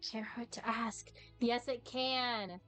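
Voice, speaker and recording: A woman's voice: a little high, steady tone, slow pace, over music. A woman live-streaming a game, chatting casually and muttering asides to herself.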